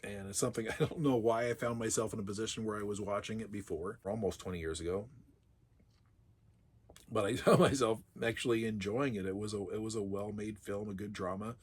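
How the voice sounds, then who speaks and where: Raspy-voiced sick man chatting. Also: fairly low pitch, steady tone, a little fast. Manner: chatty, musing, laughs often. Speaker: collector vlogger. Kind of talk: casual unboxing monologue.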